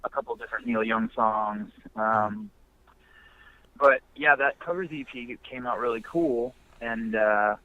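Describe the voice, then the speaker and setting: A man's voice: mid-range voice, a little slow, bright, forceful tone, dry recording. A young man musician talking casually in a phone interview, laid-back and rambling with many fillers. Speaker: musician guest. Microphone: phone line. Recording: muffled phone line.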